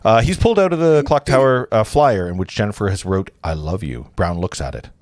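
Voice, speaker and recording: Animated man casually narrating a script. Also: on the low side, a little fast, dry recording. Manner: conversational, chatty. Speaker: host reading stage directions.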